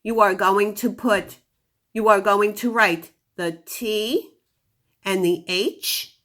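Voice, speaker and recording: A woman teaching a phonics lesson to young children, giving deliberate instructions and sounding out words. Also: medium pitch, steady tone, a bit bright.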